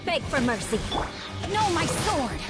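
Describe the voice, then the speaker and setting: Young female game fighter: high, fierce combat shouts over loud music and clashes. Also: sing-song, unhurried. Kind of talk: video game combat barks.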